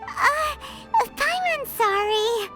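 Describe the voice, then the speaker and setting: A woman voicing a tiny, cheeky, childlike flying fairy girl in a video game, squeaky and cutesy. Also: expressive, drawn-out words, loud music behind the voice, exaggerated voice. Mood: cheeky, sheepish. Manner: cutesy, refers to herself in third person.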